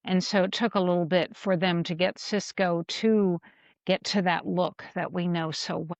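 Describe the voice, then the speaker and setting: A woman co-hosting a casual fan discussion, speaking haltingly as she searches for words, on slightly muffled audio. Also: fairly low pitch, steady tone, dry recording. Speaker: podcast co-host.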